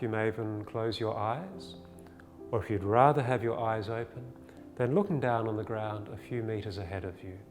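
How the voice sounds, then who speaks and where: Low-voiced man guiding meditation in long reverb. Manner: gentle, soothing, unhurried instruction with pauses. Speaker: meditation teacher. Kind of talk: guided body scan meditation. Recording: long reverb, faint music behind.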